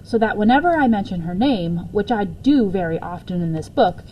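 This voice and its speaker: A woman reading a children's book aloud as a storyteller, expressively and deliberately, with occasional stumbles and self-corrections. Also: mid-range voice, animated, highs cut off, slight background noise. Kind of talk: read-aloud children's story with casual asides.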